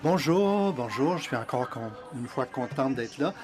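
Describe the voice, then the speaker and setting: A man introducing a speaker and then interpreting her talk, relaying it in the first person in a measured, even way. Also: mid-range voice. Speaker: interpreter and emcee. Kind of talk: live interpretation of a seminar talk.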